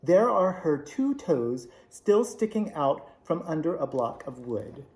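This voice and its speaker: A man voicing a sweet, calm little old woman who greets a visitor, in a storybook reading. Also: on the high side, slow pace, full character voice. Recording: roomy echo.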